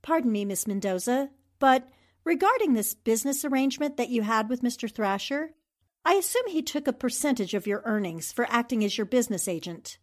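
A woman playing a sharp, polite, articulate newspaper reporter in 1940s radio drama style. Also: a little high, animated, a little fast, natural voice. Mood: probing.

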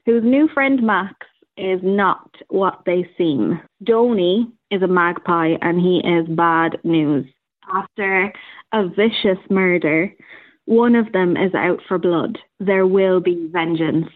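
A woman's voice: on the low side, slow pace. A woman, a theatre director, talking conversationally as an interview guest over a phone-like line. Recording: narrow, thin phone-like line.

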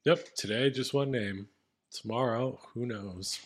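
A man with a deep voice co-hosts a casual comedy podcast. He talks in a laid-back way and reads listener reviews aloud. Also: a little slow. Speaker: podcast co-host.

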